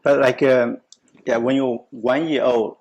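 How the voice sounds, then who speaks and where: Male host, gravelly, halting delivery, casual meal chat. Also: medium pitch, fairly even intonation, a little mellow, muffled recording. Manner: halting, restarts often. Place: restaurant table.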